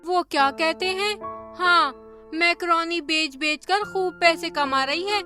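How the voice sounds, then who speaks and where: A woman's voice: very high-pitched, slow, bright, forceful tone, faint music behind, pitched above the performer's own voice, full character voice. A woman voicing a nosy, goading village auntie who gossips in a cartoon story.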